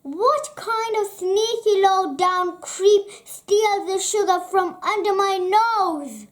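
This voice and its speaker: Storybook burglar, male, excitable and grumpy, dramatic self-questioning delivery, high and slow, played by a female reader. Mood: cross, outraged.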